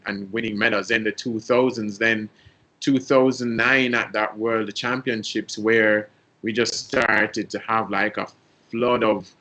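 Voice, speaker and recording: A man's voice: lowish voice, bright, forceful tone, low-quality recording. A man with a Jamaican accent on a sports panel, thoughtful and joking, with lots of ums. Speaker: panel guest. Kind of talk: casual sports panel chat.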